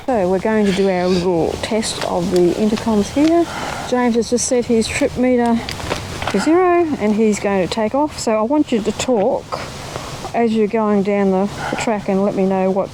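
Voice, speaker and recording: Female vlogger, mid-pitched mellow voice, casual demonstrator, explains things plainly. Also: slight background noise, rough recording. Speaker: presenter of a recumbent trike vlog. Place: outdoors.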